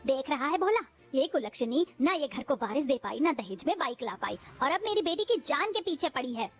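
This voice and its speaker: A shrill, cruel mother-in-law. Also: sing-song, a little fast, soft background music. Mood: scolding. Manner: scolding, taunting, insulting.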